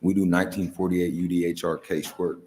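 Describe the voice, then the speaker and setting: Very deep, flat-voiced man. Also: quick pace, slight background noise. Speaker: testifier at a hearing. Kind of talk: formal testimony.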